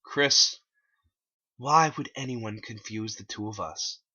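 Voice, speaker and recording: A man's voice: lowish voice, takes it slowly, pushed and bright, dull audio, heavily acted. A man voicing a smug, commanding villain in a dramatic story reading, mocking and theatrical. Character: smug, commanding male villain alter ego, taunting.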